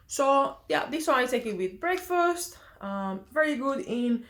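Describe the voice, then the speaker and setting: A woman's voice: high voice, animated, measured pace, fairly bright tone. A young woman from Romania, chatting casually in a vlog, hesitant with many fillers and self-corrections. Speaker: vlogger.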